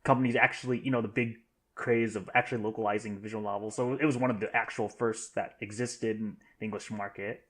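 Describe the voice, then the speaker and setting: A man's voice: on the low side, steady tone, fast, fairly bright tone. A man taking part in a casual podcast panel discussion.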